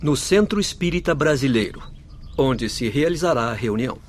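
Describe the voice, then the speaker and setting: A man's voice: medium pitch, lively intonation, normal speed, close to an everyday voice. A Brazilian man acting as an authoritative, kindly spirit doctor in a radio drama, formal and explanatory. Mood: explaining.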